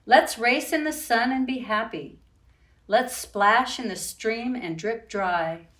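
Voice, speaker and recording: A woman reads to young children in an expressive, unhurried storytime style. She speaks directly to her little listeners as a librarian host would. The recording is roomy and echoing, with her voice some way from the mic. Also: a little high, slight background noise. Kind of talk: children's storytime read-aloud with sing-song rhymes. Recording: roomy echo, distant from the mic.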